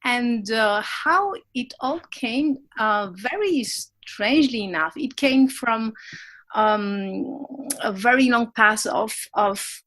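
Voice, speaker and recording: A woman with a non-native English accent, a podcast guest in casual conversation, animated and often laughing at herself while searching for words. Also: a little high, slow pace. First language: not English.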